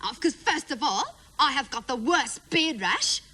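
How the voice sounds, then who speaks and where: A woman's voice: high-pitched, very animated, unhurried, bright, forceful tone, full character voice. A woman playing a naive, chatty, indignant schoolgirl in a comedy monologue, running on breathlessly and mimicking her family's words. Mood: chatty, aggrieved. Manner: breathless run-on gossip with mimicked family quotes.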